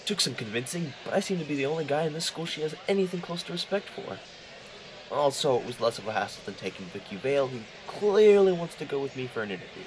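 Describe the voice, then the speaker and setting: A man playing a cocky, image-conscious high school boy in an audio drama, glib and self-assured. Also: expressive, quick pace, darkish tone, a little hiss or hum, lightly acted, rough recording. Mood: self-satisfied.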